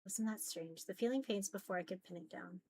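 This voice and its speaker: A woman's voice: medium pitch, a little fast, darkish tone, slight background noise. A woman reading a visual novel aloud as dramatic narration.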